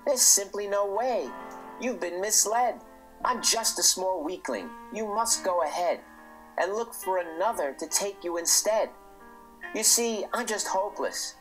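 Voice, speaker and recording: Scared small donkey, read by a man, pleading and lifting his voice high, music playing underneath. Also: expressive, measured pace, a bit bright, poor audio. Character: frightened, weak young male donkey.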